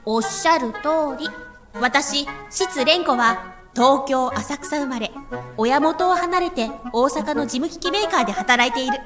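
A woman playing a high, dreamy office girl. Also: a little slow. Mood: chatty. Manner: dreamy, chatty narration. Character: single office worker woman near thirty, boy-crazy. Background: music.

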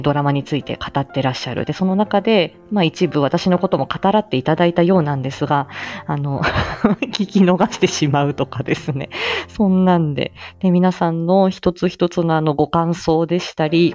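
A woman with a deep, mature voice chats casually and alone as a live host. She feels her way through sentences in a modest, halting manner, often chuckling between phrases. The audio is slightly muffled, with soft music underneath. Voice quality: mature, low-set voice. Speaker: live stream host and amateur voice actor. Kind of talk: casual rambling solo chat. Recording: dull lo-fi audio, faint music behind.

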